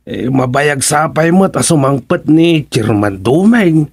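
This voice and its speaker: A man's voice: fairly high pitch, animated, measured pace, gravelly, slightly rough, dry recording, clean studio sound, exaggerated voice. A man with an Ilocano accent playing a sheepish, ambitious village politician in a radio comedy drama, hesitant, stammering and evasive. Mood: sly, hesitant.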